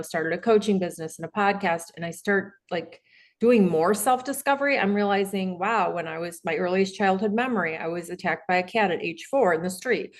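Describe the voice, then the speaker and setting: A middle-aged woman, a podcast guest and coach, telling her life story in a candid, rambling way with many fillers and restarts. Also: lowish voice. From Detroit, Michigan, USA.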